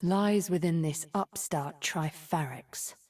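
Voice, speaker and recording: Menacing regal sorceress, video game, slow pace, breathy, theatrical. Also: female voice, on the low side, darkish tone. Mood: cold disdain. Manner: measured, imperious, theatrical. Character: cunning, regal, menacing sorceress of a secret order. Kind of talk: video game character voice lines.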